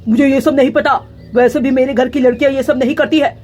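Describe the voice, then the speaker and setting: Casting: woman as strict father bird for a cartoon, high-pitched, controlling, scolding. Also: steady tone, quick pace, a little mellow, soft background music, lightly acted. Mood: stern. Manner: scolding, domineering.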